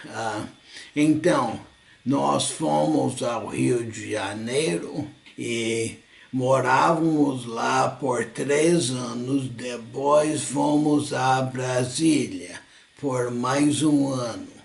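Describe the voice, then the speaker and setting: An older American man, a native English speaker, telling his own story slowly in a church lesson, pausing often and correcting himself. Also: medium pitch, vocal fry, echoey room. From United States. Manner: slow, halting, with self-corrections and frequent coughs. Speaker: church teacher. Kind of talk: slow personal recollection, lesson.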